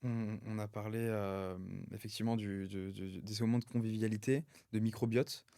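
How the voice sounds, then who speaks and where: A man's voice: lowish voice, steady tone, moderate pace, dark tone, clear, resonant tone, no echo, clean studio sound. A young man hosting a podcast, asking hesitant questions and repeating words as he goes. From family of Italian origin. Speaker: podcast host. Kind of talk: casual podcast interview.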